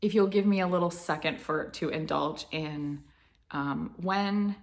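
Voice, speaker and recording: Low gravelly-voiced woman rambling to camera. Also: measured pace. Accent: American Southern. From Florida, United States. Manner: meandering, with many restarts and fillers. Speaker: vlogger. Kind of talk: casual rambling chat to camera.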